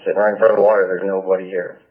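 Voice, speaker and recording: A man's voice: sits low, little pitch movement, rapid-fire. A male police officer calling in from the field over a police radio. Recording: tinny, narrow-band police scanner radio.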